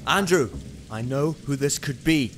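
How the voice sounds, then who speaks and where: A man's voice: medium pitch, expressive, unhurried, a little hiss or hum, close to an everyday voice. A man playing a young, hard-nosed, suspicious police detective in an amateur radio play. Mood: forceful, urgent. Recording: music and rain under the voice.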